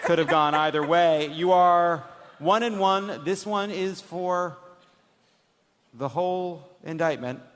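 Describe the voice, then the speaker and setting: Guy hosting a comedy quiz, highish voice, big echoey room, lots of noise. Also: takes it slowly. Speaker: comedy show host and quizmaster. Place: live stage before an audience. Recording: echoey hall, loud background noise.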